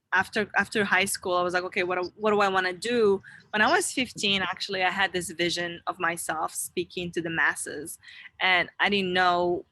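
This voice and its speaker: A young adult woman from Morocco speaks as an interview guest, with a bright, clear tone. She talks in an earnest, rambling way, restarting her sentences and filling the gaps as she goes. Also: medium pitch, no room sound. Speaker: interview guest, wellness founder. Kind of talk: casual interview talk. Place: online video interview.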